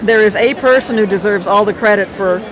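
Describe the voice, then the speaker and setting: A woman's voice: mid-range voice, a little fast. A middle-aged or older woman giving casual, emphatic and appreciative answers as an interview guest. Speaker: interview guest, TV writer and producer. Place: busy comic convention. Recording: noisy busy convention with crowd din.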